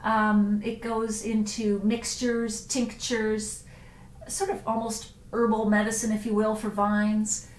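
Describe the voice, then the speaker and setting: A woman's voice: medium pitch, completely flat delivery, takes it slowly, echoey room, slight background noise, poor audio. A woman hosting a casual live stream, chatting about wine and answering viewers' comments as they come in, with frequent ums and self-corrections.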